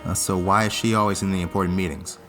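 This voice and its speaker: A man's voice: sits low, steady tone, quick pace, a bit bright. An actor playing a sullen, hurt young man in a fantasy audio drama, dour and casual. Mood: hurt, resentful. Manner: dour, casual modern speech. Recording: music under the voice.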